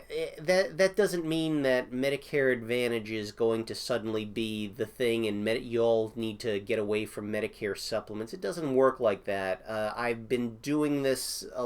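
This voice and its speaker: A man with a smooth, resonant voice of middling pitch, just getting over a cold and stopping now and then to cough. He talks loosely and thinks aloud, full of "uh"s, as he explains things to viewers in a casual Q&A video. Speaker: Medicare insurance agent host.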